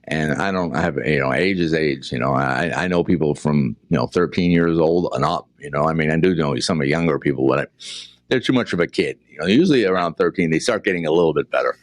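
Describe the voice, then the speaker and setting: A man's voice: extremely low pitch, fast talker, no room sound, studio quality. A man in his early sixties with a Boston accent, hosting a casual interview in a rambling way that repeats words. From Boston, New England. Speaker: interview show host.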